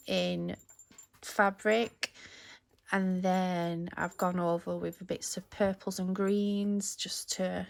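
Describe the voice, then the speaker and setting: A woman talks at a slow, unhurried pace with a medium pitch. She presents casually, chatty and halting as in a homemade craft vlog, and her voice sounds a little worn, with some throat clearing. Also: a little hiss or hum.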